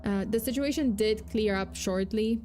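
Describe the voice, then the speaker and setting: A woman's voice: mid-range voice, normal speed, dark tone, soft background music. A young woman with a light Polish accent, hosting a casual true-crime livestream, chatting loosely with lots of fillers and restarts. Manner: chatty, full of fillers and self-corrections, reads chat aloud. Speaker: VTuber host.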